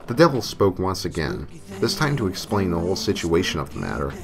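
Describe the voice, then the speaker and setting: A man's voice: low voice, sing-song, a little fast, vocal fry. A man reading a scripted first-person creepypasta story as its narrator. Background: music.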